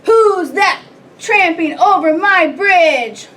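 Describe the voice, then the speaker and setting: A woman's voice: high voice, animated, a bit bright. A woman voicing a mean, ugly male bridge troll in a children's storybook, roaring and drawing out the words. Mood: roaring challenge. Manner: roaring, drawn-out, threatening. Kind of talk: children's storybook read-aloud. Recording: distant mic, light background noise.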